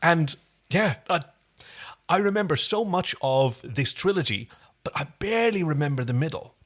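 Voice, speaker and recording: A man's voice: on the high side, animated, moderate pace, a bit bright. Man chatting casually as podcast co-host. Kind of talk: casual chat about a TV series episode.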